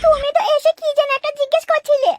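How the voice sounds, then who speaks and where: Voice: very high voice, level tone, fast, a little hiss or hum. An actor voicing a bold young housewife, complaining and coy, in a comic cartoon dub. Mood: coy, teasing.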